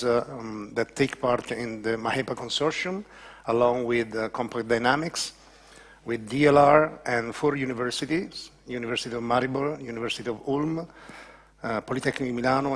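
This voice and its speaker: Male moderator, formal introductions, medium pitch, echoey hall reverb. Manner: formal, with occasional fillers. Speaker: workshop moderator.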